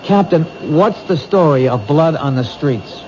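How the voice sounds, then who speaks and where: An actor playing a dogged police detective in a 1970s radio drama, pressing with urgent questions. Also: male voice, fairly high pitch, animated, a little slow, darkish tone. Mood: apologetic. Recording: hissy lo-fi old radio broadcast.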